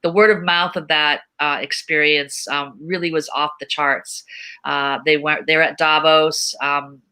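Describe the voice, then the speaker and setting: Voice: medium pitch, level tone, unhurried, bright, forceful tone, clean tone. A panel speaker explaining projects in an explanatory style. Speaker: panel speaker from a tech company funding VR projects.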